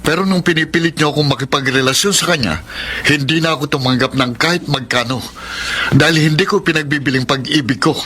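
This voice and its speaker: An actor playing a reluctant, principled young son in a radio drama, speaking earnestly. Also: male voice, fairly high pitch, a little fast, bright, forceful tone, breathy edge. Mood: indignant, firm. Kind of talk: radio drama acting and letter narration.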